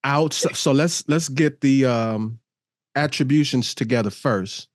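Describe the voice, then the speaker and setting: A man's voice: medium pitch, a little slow, no room sound, studio quality. Congested man co-hosting a podcast. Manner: reads an article aloud, laughs often. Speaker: podcast co-host. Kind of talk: casual podcast chat over video call.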